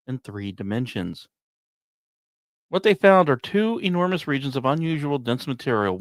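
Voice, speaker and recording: A man's voice: mid-range voice, no echo. Male podcast host, close dry sound. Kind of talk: scripted science narration, read aloud.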